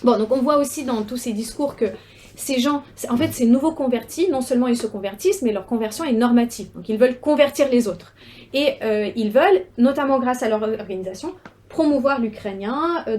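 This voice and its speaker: A young adult woman researcher giving an academic seminar talk, measured and often pausing on fillers and repeated words. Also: a little high, darkish tone, slight background noise.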